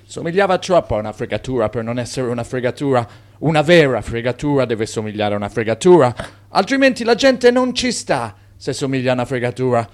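An actor in a radio drama playing a wry, insistent official, with theatrical delivery. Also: male voice, mid-range voice, sing-song, a little fast, natural voice.

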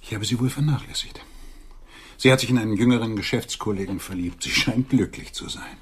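A man's voice: on the low side, fairly quick, slight background noise, natural voice. An actor in a radio drama playing a stiff, self-important middle-aged businessman with stilted, formal speech. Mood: subdued. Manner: stilted, pompous formal courtesy, turning brusque.

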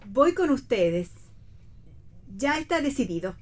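A woman's voice: high voice, sing-song, takes it slowly, heavily acted. An actress playing a proper, homely older mother, mildly reproachful and resigned, in a read radio play. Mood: prim, insistent. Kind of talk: staged reading of a radio play.

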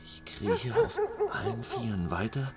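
A man narrating a suspenseful story in a hushed way. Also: medium pitch, wildly swooping pitch, a little slow, over music.

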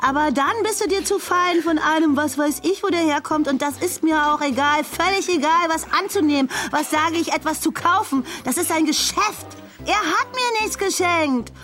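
Radio play role: outspoken, righteous woman, high voice, insistent, faint music behind. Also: moderate pace, fairly bright tone. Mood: indignant. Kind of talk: naturalistic German radio play acting.

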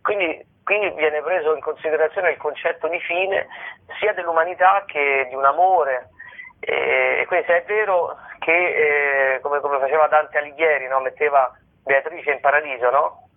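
Thin phone-like sound, man, slightly high voice, informal interview guest, halting and rambling, repeats words. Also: steady tone. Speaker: guest, comedian and stage performer presenting his shows. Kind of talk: informal radio interview talk. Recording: band-limited radio or telephone-like sound.

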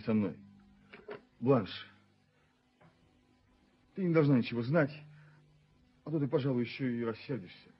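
Actor as high-pitched, excitable young fiancé. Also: male voice, close to an everyday voice. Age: young adult. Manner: halting, repeating himself, excitable. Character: earnest, evasive young fiancé, flustered and stubborn. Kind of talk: Soviet radio play acting. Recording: dull, muffled 1970s radio recording.

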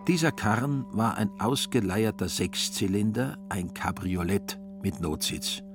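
Low-voiced male radio drama narrator. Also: animated, measured pace, music in the background.

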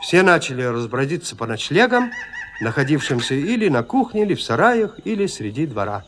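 A man narrating classic prose for an audio drama in a measured, theatrical storytelling manner. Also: on the high side, sing-song, fairly bright tone, slight background noise.

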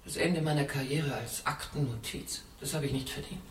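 A woman's voice: rumbling low, normal speed. An actress playing a condemned woman spy in a radio drama, flirtatious and drily ironic, with proud composure. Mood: ironic, teasing. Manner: theatrical, teasing, drily ironic. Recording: roomy, distant, faintly noisy radio play.

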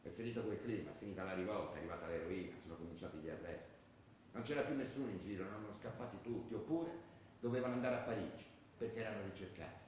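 A man's voice: sits low, racing, darkish tone, natural voice. An actor playing a streetwise male ultra who looks back on a rebellious youth, in colloquial radio drama storytelling. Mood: disillusioned. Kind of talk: theatrical radio drama monologue. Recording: very echoey, distant, faint noise.

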